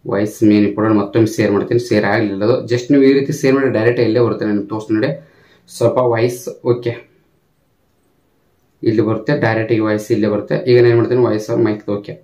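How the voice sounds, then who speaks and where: A man's voice: fairly low pitch, steady tone, fast talker, dark tone. A man hosting an informal step-by-step tech tutorial, explaining emphatically and repetitively with English tech words mixed in. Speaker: YouTube tech tutorial host.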